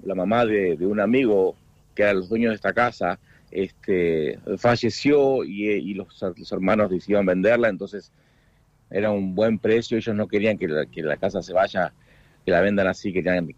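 An Argentine man with a low voice and an Argentine accent speaks as a guest over a call. He talks in halting bursts, restarting and repeating words as he goes. The audio is dull and lo-fi, with a dry, close sound and no room echo. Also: a little mellow. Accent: Argentine Spanish. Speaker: guest, food business owner in the US. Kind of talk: casual interview chat.

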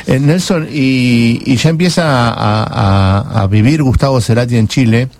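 A man's voice: medium pitch, unhurried, darkish tone. A man with an Argentine accent hosting a radio interview, asking questions conversationally and affirming his guest. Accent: Argentine Spanish. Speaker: radio host.

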